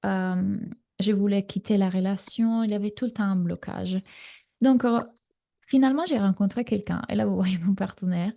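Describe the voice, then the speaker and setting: Italian woman coaching, with a gravelly edge. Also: mid-range voice, moderate pace. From Italy. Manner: warm, reassuring coaching talk with frequent fillers. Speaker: relationship coach. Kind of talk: live online masterclass.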